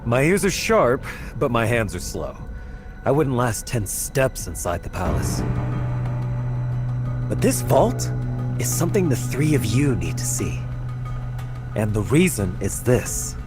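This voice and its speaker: A bitter schemer from a video game drama. His mid-pitched voice swings widely as he delivers measured, rhetorical lines over clear background music. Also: big pitch swings. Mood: intent, persuasive. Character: scheming, bitter man organising a palace heist. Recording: music behind the voice.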